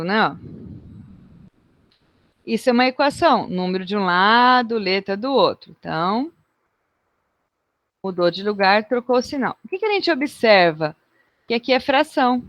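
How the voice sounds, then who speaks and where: A woman's voice: a little high, no room sound, dull audio. Chatty woman teaching math. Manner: chatty, checks in with "né?" and "ó". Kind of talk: classroom math lesson.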